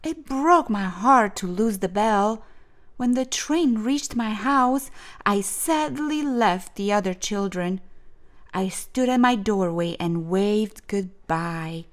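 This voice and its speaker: A woman reading a children's storybook aloud in an expressive, deliberate way with dramatic pauses. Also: mid-range voice. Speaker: storyteller, host of a read-aloud show.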